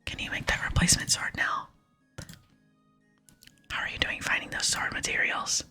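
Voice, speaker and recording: A woman voicing a gruff, doubting old male dwarf smith from a game in an expressive whisper. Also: normal speed. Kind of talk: whispered reading of game dialogue. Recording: close whisper with music behind.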